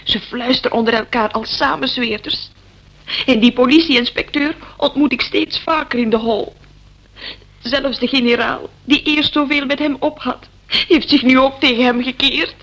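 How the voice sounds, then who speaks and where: A woman's voice: high voice, fairly even intonation, moderate pace, a bit bright, muffled recording. An actress in a radio play playing an anxious, plaintive woman who pleads fearfully for her partner, on a noisy old recording. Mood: fearful, suspicious. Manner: plaintive, imploring.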